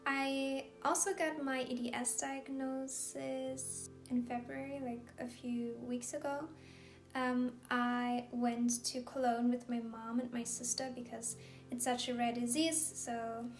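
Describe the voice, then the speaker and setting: Slow, soft-spoken young woman. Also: fairly high pitch, echoey room. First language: German. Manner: gentle, hesitant, many pauses and fillers. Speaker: vlogger. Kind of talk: soft-spoken personal vlog.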